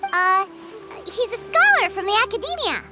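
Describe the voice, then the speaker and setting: Very high and bouncy, this is a tiny floating girl sidekick, bubbly and nosy. She chirps quick sing-song questions with a wildly swooping voice, with game music playing loud behind her. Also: big pitch swings. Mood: cheerful. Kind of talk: game voice acting.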